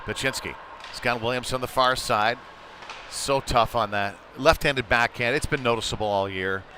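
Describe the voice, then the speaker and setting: A man commentates live on amateur hockey in an informal, chatty way. His mid-pitched voice is bright and forceful. Some light ambient noise sits behind him. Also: normal speed. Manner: conversational and informal, excited when play heats up.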